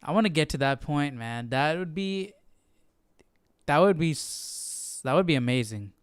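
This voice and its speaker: Male livestream host, slightly high pitch, smooth clear tone, dry sound, casual slangy reactions. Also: animated, a little slow, dry recording. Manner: informal, youthful slang, exclaiming. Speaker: Bible study livestream host.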